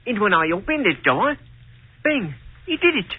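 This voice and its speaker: Jumpy earnest witness, high swooping voice, old radio play. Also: male voice, sing-song, normal speed, breathy edge, full character voice. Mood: excited earnestness. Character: excitable, deferential witness protesting his honesty. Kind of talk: 1940s radio drama acting. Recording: tinny, phone-like old radio recording.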